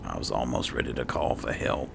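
A man's voice: extremely low pitch, fairly quick, creaky, noisy background. A man giving roleplay commentary on a video game in muttered asides, playfully talking to his companion in character. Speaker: gameplay host.

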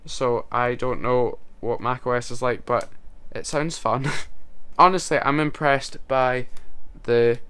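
Chatty young man, smooth voice. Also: medium pitch, steady tone, slow pace, few pauses, fairly bright tone. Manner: chatty, rambling, self-mocking with small laughs. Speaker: vlogger. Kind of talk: casual talk to camera. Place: bedroom, indoors. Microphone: camera mic at close range.

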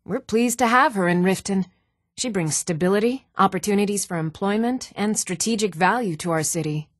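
An actress voicing earnest, even-toned townswomen in a fantasy video game, speaking in a measured, clearly enunciated way. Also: female voice, mid-range voice, no room sound, studio quality, natural voice. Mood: formal, official. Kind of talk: scripted video game voice acting.

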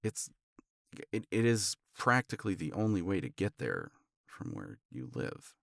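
A man playing a tender, teasing older boyfriend in an intimate romance ASMR roleplay, murmuring in a halting, playful way. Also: sits low, wildly swooping pitch, vocal fry, fairly bright tone, no echo, studio quality, full character voice. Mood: teasing, soft. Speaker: audio roleplay voice actor.

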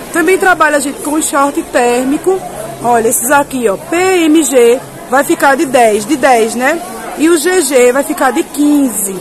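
Female market presenter, Northeastern Brazilian accent, high pitch, informal and chatty with viewers, recorded amid clear crowd bustle. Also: poor audio. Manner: chatty, addressing viewers with repeated tag questions. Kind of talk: informal product showcase. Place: covered open-sided clothing market. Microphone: handheld camera, speaker behind it. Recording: busy crowd noise of covered market.

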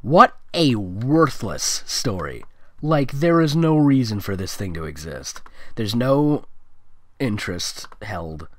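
Sarcastic man with an animated delivery. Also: fairly low pitch, slow pace, dry recording. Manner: sarcastic, mocking. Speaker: fan fiction reader. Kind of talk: fan fiction read-aloud with ad-lib commentary.

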